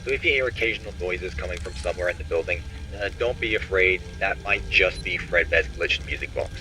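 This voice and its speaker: Deep-voiced young man playing a friendly phone guy. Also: fairly quick, lightly acted. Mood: cautioning, uneasy. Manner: casual, explanatory, polite. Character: friendly, hurried night-shift phone guy, slightly uneasy. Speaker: pizzeria employee leaving taped instructions. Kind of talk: recorded phone message in horror game. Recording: clearly noisy taped message.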